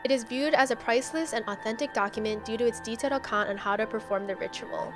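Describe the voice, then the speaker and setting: A woman's voice: on the high side, quick pace. A woman game streamer playing a horror game live, reading text aloud and reacting in a dramatic, slangy way. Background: game music.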